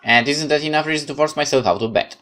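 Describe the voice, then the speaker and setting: A man's voice: a little high, fast, sharp tone. A man reading a horror visual novel aloud as a let's play host, dramatic narration with casual asides.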